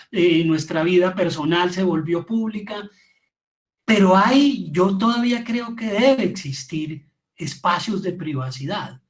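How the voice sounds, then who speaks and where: Middle-aged Colombian male lecturer, high voice, emphatic and explanatory, informal delivery. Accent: Colombian Spanish. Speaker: lecturer, theatre and radio drama maker. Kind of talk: informal online lecture on audio fiction.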